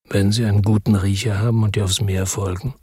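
An actor playing an embittered, defiant noir hero in a German radio drama, speaking in a terse, grim, weary way. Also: male voice, fairly low pitch, level tone, a little fast, darkish tone, husky, no echo, studio quality. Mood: brooding narration. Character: embittered, defiant noir hero telling his own story. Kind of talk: German radio drama acting and first-person narration.

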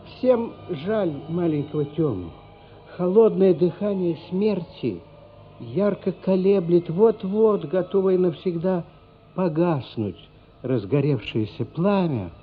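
Male narrator for radio drama: fairly high voice, unhurried, dramatic literary delivery. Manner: expressive, dramatic, deliberate phrasing. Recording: dull lo-fi radio play, music underneath. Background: music.

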